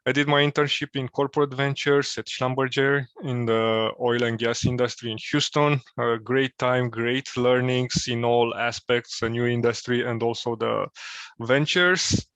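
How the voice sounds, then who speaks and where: A man from Romania, telling his career story as an interview guest, thoughtful and pausing often with fillers. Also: medium pitch, steady tone, a bit bright, clear, resonant tone, dry recording. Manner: thoughtful, many filler pauses.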